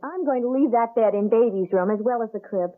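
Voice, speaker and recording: An actress playing a cheerful, affectionate and unworried young wife in an old-time radio drama, on a tinny recording. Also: female voice, on the high side, quick pace, close to an everyday voice. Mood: breezy, cheerful.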